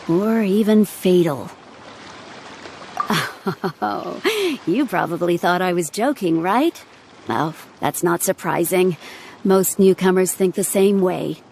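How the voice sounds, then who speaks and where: An actress voicing a calm, practical female ship's surgeon in a game, patient and matter-of-fact as she instructs. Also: on the low side, slight background noise. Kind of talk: video game cutscene dialogue.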